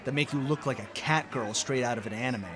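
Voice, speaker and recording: An actor playing a thoughtful, helpful male friend in an audio drama, measured and inquisitive. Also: medium pitch, close to an everyday voice. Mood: curious, analytical. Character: thoughtful, helpful male friend who knows dream theory.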